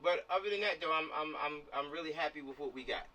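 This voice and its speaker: A man's voice: a little high, fast talker, bright, forceful tone, slight background noise. An American man leading a casual online meeting, giving directions and repeating his phrases, heard over a video call. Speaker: film production organizer leading a meeting. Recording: distant-sounding video call audio.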